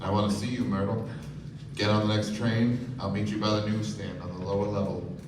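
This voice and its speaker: A man's voice: lowish voice, flat delivery, a little fast, distant mic, natural voice. A man playing a brusque, domineering rich husband, hearty and commanding, in a live radio play. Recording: echoey stage recording from afar, noisy.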